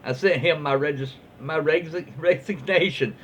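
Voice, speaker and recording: Southern us guy reading stories out loud, kinda high voice, informal, bit lo-fi w/ some background noise. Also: moderate pace. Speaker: host reading online stories aloud. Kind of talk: casual read-aloud storytelling. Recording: muffled, lo-fi with faint background noise.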